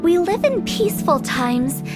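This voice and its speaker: Mischievous funeral director. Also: female voice, extremely high pitch, big pitch swings, unhurried, music in the background, full character voice. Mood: storytelling. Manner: playful, storytelling, teasing. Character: young woman running a funeral parlor, mischievous and cryptic. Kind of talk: video game voice acting.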